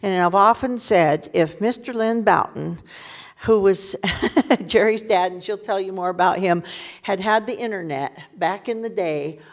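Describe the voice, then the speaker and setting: A woman's voice: medium pitch, measured pace. A woman presenting to a community meeting on a handheld microphone, chatty with laughing asides and self-corrections. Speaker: community group panelist. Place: museum meeting room.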